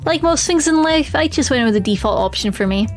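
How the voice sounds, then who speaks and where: A woman's voice: high-pitched, lively intonation, fairly quick. A woman streaming a game, chatting expressively and reading the story text aloud. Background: faint music.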